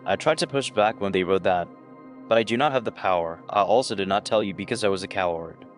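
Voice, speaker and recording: A man playing an uneasy, conscience-stricken lab technician in an audio story, earnest and measured. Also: on the low side, close to an everyday voice. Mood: guilty. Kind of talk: scripted audio story dialogue. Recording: music playing behind the voice.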